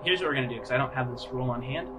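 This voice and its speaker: A man working as game master, narrating scenes in a casual, halting, conversational way. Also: medium pitch, noisy background. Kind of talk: tabletop roleplay narration. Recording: music under the voice.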